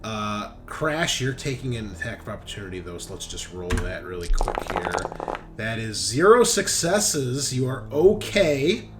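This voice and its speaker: A man's voice: mid-range voice, big pitch swings, unhurried. Casual man running a tabletop game over music. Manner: casual, profane banter. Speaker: game master. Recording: music behind the voice. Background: background music.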